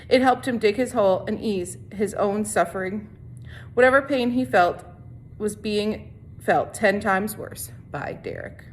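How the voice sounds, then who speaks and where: A woman's voice: mid-range voice, rough recording. A woman with a mid-range voice reads a story aloud. She is informal and sometimes rereads a phrase. Faint noise sits behind her voice. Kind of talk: read-aloud story narration with brief casual asides.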